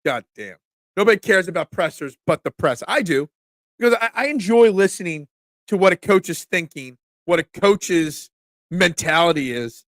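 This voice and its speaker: A fairly high-voiced man hosts a sports talk stream, sounding congested, as if he is catching a cold. He rants with excitable, opinionated energy and trips over his own restarts. The sound is close and dry, with no room echo. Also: normal speed. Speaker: sports talk show host. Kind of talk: casual live-stream sports talk, reading chat comments.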